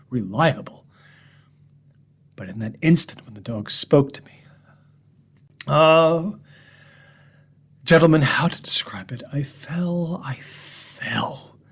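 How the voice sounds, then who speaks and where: A man playing a shaken, bitter storyteller. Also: medium pitch. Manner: bitter, agitated, swinging from loud outbursts to hushed whispers. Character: shaken man addressing a room of gentlemen. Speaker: narrator. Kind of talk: first-person horror story reading.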